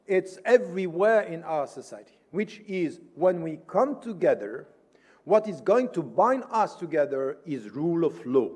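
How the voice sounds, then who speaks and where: A man speaks at a formal conference in a notably high-pitched voice that rings through a reverberant hall. He is emphatic and didactic, building his argument step by step and often answering his own questions. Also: darkish tone. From Switzerland.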